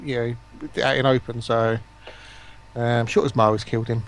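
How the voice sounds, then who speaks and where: A man's voice: mid-range voice, level tone, fairly quick. A man, a streamer guesting on a casual chat among gamers.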